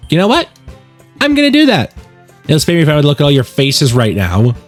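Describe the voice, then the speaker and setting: A man's voice: fairly high pitch, wildly swooping pitch, fairly quick, soft background music, pitched above the performer's own voice. A man voicing a feisty, defensive teenage girl in a whiny, combative way for a visual novel game. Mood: bitter.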